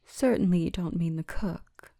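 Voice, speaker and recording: Woman acting as orc guardswoman, ASMR roleplay, hushed and breathy, mellow, creaky, wry, gently commanding. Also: on the low side. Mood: formal, teasing. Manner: slow, hushed, breathy murmur.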